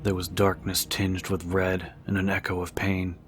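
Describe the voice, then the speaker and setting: Audiobook narrator, male, very deep and flat in pitch, dramatic scripted prose over prominent music. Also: moderate pace.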